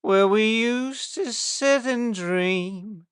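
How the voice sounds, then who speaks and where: A man's voice: high-pitched, extremely slow, fairly bright tone, clear, resonant tone, dry recording, studio quality, voice pushed up, exaggerated voice. A man voicing a tiny, tipsy old farmer with a reedy voice and a Yorkshire accent, in an audiobook. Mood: raucous singing.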